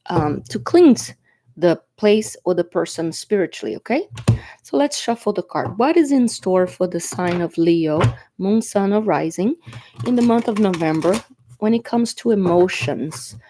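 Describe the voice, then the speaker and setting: A woman's voice: lowish voice, noisy background. A woman whose first language is Portuguese gives a chatty tarot reading, congested and coughing from allergies or a cold. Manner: chatty, reassuring, often asks "okay?". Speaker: tarot reader. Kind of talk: casual tarot reading to camera. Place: indoors at a table.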